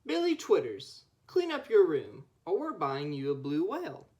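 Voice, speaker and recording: A woman's voice: low-pitched, huge pitch swings, moderate pace, mellow. A young woman voicing a stern, exasperated mother in a picture book. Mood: scolding threat.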